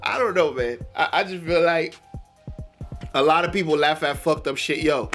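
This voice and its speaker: High-voiced, bright, slangy reaction host. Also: male voice, moderate pace, faint music behind. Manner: animated, laughing, slangy chatter with swearing. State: losing his voice. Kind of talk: casual reaction commentary.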